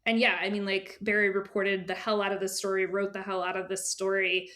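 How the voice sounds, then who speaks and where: A woman's voice: mid-range voice, little pitch movement, a little fast, a bit bright. A woman speaking as an editor and guest in a casual interview, informal, often dropping into asides and imagined quotes.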